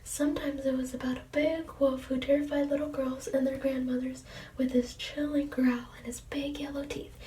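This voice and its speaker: A woman reading children's storybooks in a soft, breathy whisper, gently commenting on the pictures between pages. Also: high-pitched, far from the mic, a little hiss or hum. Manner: gentle, with quiet asides on the pictures. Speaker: storybook reader.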